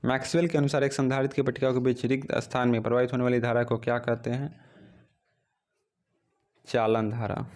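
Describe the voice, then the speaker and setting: A man teaching an exam practice lesson, reading out questions and then giving the answers in a steady drilling rhythm, recorded on a phone. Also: medium pitch, a little fast, long pauses.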